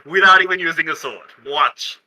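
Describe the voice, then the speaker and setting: Bright, higher-voiced man playing a tabletop game. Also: moderate pace, poor audio. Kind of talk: casual chat with in-character asides.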